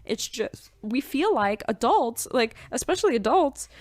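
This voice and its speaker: A woman's voice: on the high side, big pitch swings, measured pace, a little mellow, no room sound. A woman in her twenties hosting a casual spiritual podcast, chatty and rambling with many fillers. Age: 20s. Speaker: podcast host.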